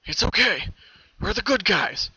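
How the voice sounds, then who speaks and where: Man playing an urgent, reassuring pony rescuer, pitched up. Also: lively intonation, unhurried, pushed and bright, breathy edge, dry recording, heavily acted. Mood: urgent reassurance. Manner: urgent, comforting. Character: heroic, reassuring male police pony rescuer. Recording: dull, lo-fi home recording.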